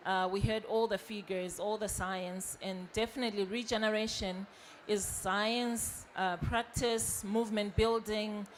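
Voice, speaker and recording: A woman's voice: mid-range voice, slight background noise. A young woman from Zimbabwe speaking at a conference panel, earnest and growing more emphatic as she goes.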